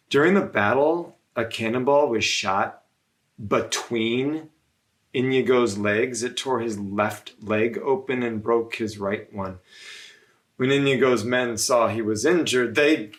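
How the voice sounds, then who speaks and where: A man reading a story aloud to children as a religious brother, in measured phrases with frequent pauses. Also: fairly low pitch, a bit bright.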